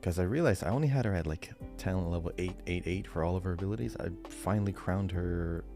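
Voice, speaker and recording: A man's voice: sits low, quick pace, over music. A man co-hosting a podcast in casual chat, explaining things in a rambling, loosely detailed way. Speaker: podcast co-host.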